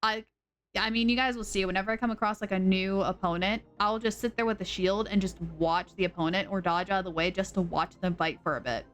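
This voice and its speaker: A woman streaming a game, chatting casually and rambling as she thinks out loud. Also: medium pitch, fairly quick. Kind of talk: casual live-stream chat.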